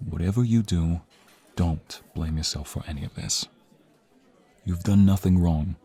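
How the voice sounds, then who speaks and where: Man acting as deep-voiced protective mobster lover. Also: measured pace, creaky, dark tone, husky, dry recording, exaggerated voice. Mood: tender, worried. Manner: soothing, intimate, earnest. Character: protective young mobster's son, tender lover, menacing when threatened. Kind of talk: ASMR roleplay audio drama acting.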